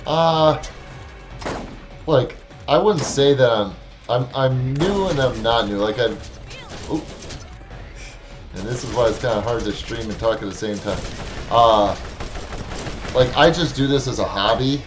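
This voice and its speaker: A man's voice: mid-range voice, a little slow, long pauses, music in the background. A man in his thirties from Wisconsin, with a Wisconsin accent, chatting casually and wryly while streaming a game from his room on a headset mic. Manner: chatty, wry, talks back to the game. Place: small room at home.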